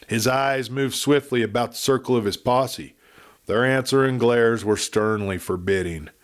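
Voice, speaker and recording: A man narrating a Western audiobook with expressive, dramatic storytelling. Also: mid-range voice, normal speed.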